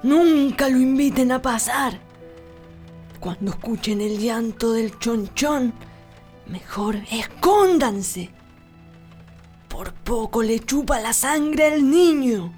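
A woman's voice: fairly high pitch, lively intonation, takes it slowly, a little mellow, faint music behind. A woman playing a stern, mysterious Chilean country healer in a radio drama, scolding and commanding. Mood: warning.

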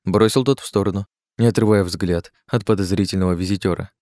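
A man reading an audiobook in steady, descriptive scripted narration. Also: low voice, fast talker, no echo, studio quality.

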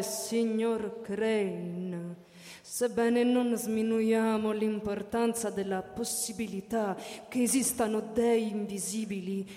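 Slow, echoing, solemn alien. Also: female voice, fairly high pitch, dark tone. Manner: deliberate, measured, solemn. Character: serene immortal alien speaking for a deathless race. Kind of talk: theatrical radio drama recitation. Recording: echoey hall reverb with music behind.

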